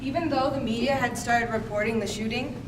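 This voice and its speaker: A woman's voice: on the high side, a little fast, lightly acted. A young woman narrating a readers theater piece in a declamatory manner. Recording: distant mic, clear background noise.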